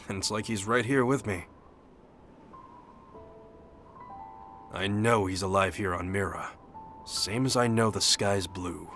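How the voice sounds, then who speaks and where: An actor playing an earnest, rough-edged young American soldier devoted to his missing partner, speaking plainly and colloquially in a game cutscene. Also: on the low side, fairly bright tone, close to an everyday voice. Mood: awkward, hopeful. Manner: colloquial, wistful, plain-spoken. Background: music.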